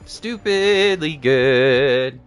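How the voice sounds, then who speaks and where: A man's voice: medium pitch, expressive, very slow. A man giving casual, playful livestream commentary over gameplay, often repeating his phrases for emphasis. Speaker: game streamer. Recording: game music behind voice. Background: game music.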